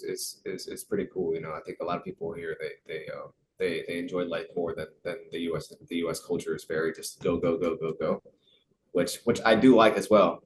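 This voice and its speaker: A man's voice: low voice, noisy background. A young American man, a professional soccer player, answering interview questions casually, with many fillers and repeated words. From United States.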